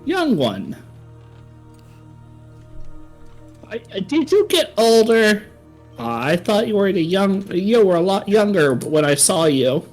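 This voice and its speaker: A woman's voice: medium pitch, big pitch swings, a little slow, darkish tone, soft background music. A game master voicing a kindly old female dwarf herbalist who runs a shop, doting and rambling.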